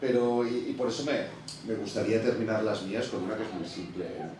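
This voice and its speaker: A man's voice: on the low side, steady tone, fast talker. A man hosting a public interview, asking a publisher questions and dropping short supportive interjections. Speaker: interviewer and event host. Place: bookshop event room. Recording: echoey hall, distant mic, noisy.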